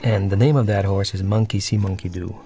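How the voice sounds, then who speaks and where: Low, gravelly man giving film commentary. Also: steady tone, brisk. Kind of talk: film audio commentary, casual and reflective. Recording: film soundtrack playing underneath. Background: film music and dialogue.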